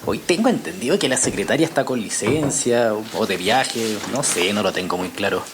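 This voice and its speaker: An actor playing a friendly, awkward journalist in an audio drama, rambling and apologetic. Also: male voice, lowish voice, animated, moderate pace, noisy background, natural voice. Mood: chatty, welcoming. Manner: flustered, apologetic, rambling with self-corrections. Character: friendly, awkward male journalist who writes crime novels. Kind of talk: naturalistic audio drama acting.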